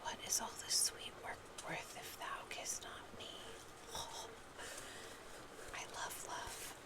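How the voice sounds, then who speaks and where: An ASMR performer reads love poetry in a soft, intimate, unhurried whisper that is breathy with creaky edges. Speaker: ASMR performer reading love poems in a roleplay.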